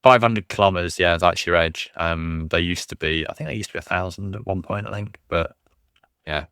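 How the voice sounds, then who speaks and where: Casting: male fleet commander, very deep gravelly voice, loose rambling Q&A delivery, dry close mic. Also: brisk, fairly bright tone, dry recording. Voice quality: low voice. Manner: rambling, many ums and restarts, self-deprecating. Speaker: fleet commander answering questions. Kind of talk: casual livestream Q&A.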